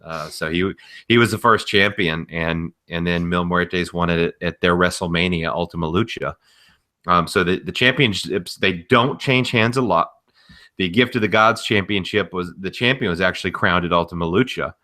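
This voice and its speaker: Low, bright-voiced man explaining things casually. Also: steady tone, moderate pace. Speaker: podcast co-host.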